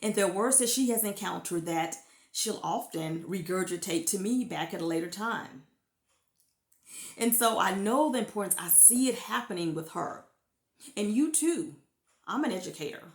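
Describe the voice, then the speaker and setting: A woman in her thirties talks to parents like a teacher, earnest and encouraging, and her pitch jumps up to push key points. Her voice has a gravelly edge, and the room adds a noticeable echo. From United States. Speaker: former classroom teacher giving parenting tips. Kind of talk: informal teaching talk to parents.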